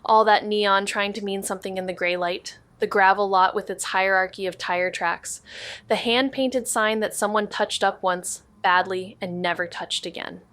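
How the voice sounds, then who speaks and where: An actress playing an observant, understated investigator in a noir audio drama, measured and deadpan in narration and dialogue. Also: female voice, mid-range voice, lightly acted. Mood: brooding narration.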